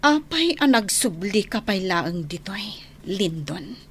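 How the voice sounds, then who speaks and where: A woman's voice: medium pitch, very animated, slow pace, a little hiss or hum. A woman acting a reluctant young woman who firmly and earnestly turns down a suitor in an Ilocano radio drama. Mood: pained, firm.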